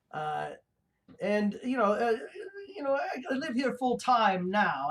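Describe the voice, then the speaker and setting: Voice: mid-range voice, bright, forceful tone. A performer voicing a gloating reptilian mad scientist in human disguise, hosting a comic livestream in a pompous, rambling, villainous manner. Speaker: host of a livestream show. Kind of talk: comic in-character villain monologue.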